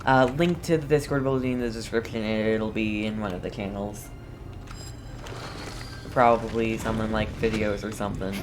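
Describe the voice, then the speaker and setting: A woman's voice: very deep voice, steady tone, normal speed, noisy background. A woman giving laid-back, casual commentary on a game playthrough in short reactions. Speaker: gaming streamer.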